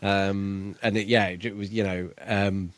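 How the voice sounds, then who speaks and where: A man's voice: fairly low pitch, flat delivery, unhurried, dry recording. A man co-hosting a podcast, casually discussing a book in a chatty, jokey way. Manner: chatty, jokey, prone to laughing. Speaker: podcast co-host.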